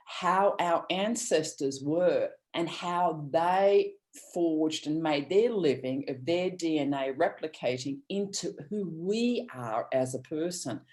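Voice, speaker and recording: A woman's voice: deep voice, lively intonation, takes it slowly, a little mellow. A woman from Australia, giving an earnest, rambling motivational talk with many restarts over a video call. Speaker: guest speaker.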